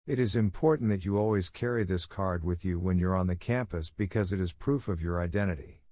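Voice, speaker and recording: A man reading scripted academic passages aloud in a measured, careful, formal way. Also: low voice, warm tone, no echo. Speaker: reader of model read-aloud test passages.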